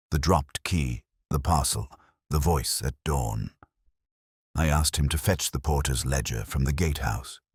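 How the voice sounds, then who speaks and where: An actor playing a weary, reflective police inspector, narrating a mystery in a measured, literary, brooding manner. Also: male voice, rumbling low, slightly rough, no echo, clean studio sound, full character voice. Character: weary, reflective male police inspector recounting his case. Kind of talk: scripted first-person mystery narration.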